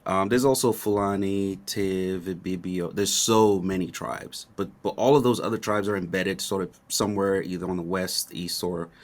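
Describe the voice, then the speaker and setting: A middle-aged man from Nigeria, a native Yoruba speaker, explaining history calmly in an interview and often checking in with "right?". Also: low voice, moderate pace, hardly any pauses. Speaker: guest, rapper. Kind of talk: relaxed explanatory interview talk.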